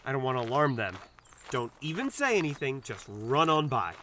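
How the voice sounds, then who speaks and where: Man playing an anxious, animated young doctor. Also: mid-range voice, moderate pace, a little hiss or hum. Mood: nervous muttering. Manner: rambling, flustered, hesitant muttering to himself. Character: anxious, awkward, self-scolding young male doctor. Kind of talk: audio drama dialogue with first-person inner monologue.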